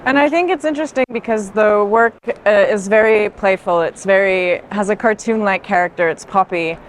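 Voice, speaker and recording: A woman's voice: medium pitch, moderate pace, poor audio. A woman in an unscripted art discussion, explaining her thoughts in a reflective, hesitant way. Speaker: art curator in conversation. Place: indoor art fair hall. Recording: clear background noise of busy venue.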